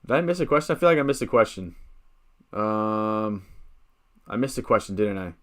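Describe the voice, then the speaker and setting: A man's voice: fairly low pitch, lively intonation, normal speed. A man of twenty-nine chatting casually on a live stream, thinking aloud with many restarts and greeting viewers as he talks. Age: 20s. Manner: chats with viewers, gives shout-outs. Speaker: fantasy football streamer.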